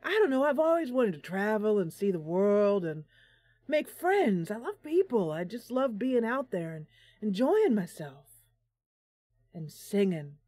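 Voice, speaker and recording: A woman playing a friendly, romantic bard heroine in a fantasy game, speaking in a dreamy, theatrical, drawn-out way. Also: mid-range voice, very animated, warm tone, dry recording.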